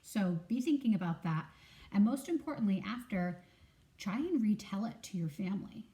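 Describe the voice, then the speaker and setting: A woman teacher reading a children's story aloud, enunciating expressively and pausing to prompt listeners with questions. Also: medium pitch, lively intonation, warm tone.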